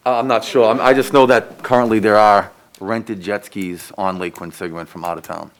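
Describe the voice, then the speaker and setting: A man speaking at a town commission meeting, halting and repeating words as he reports. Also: lowish voice, fairly even intonation, slight background noise. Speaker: commission member. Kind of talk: meeting discussion.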